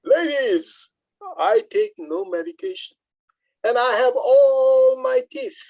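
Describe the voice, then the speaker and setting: A vain old charmer played by an amateur actor in a radio comedy, boasting at a very slow, drawn-out pace. The delivery swoops up and down with self-satisfaction. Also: high-pitched, wildly swooping pitch, gravelly, darkish tone, full character voice, poor audio. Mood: smug, boastful.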